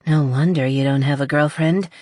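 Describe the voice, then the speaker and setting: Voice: medium pitch, steady tone, moderate pace, a little mellow, dry recording, clean studio sound, natural voice. A narrator voicing a talkative, exasperated young woman with a haughty but playful streak in an audiobook. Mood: blunt, teasing. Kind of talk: audiobook character dialogue.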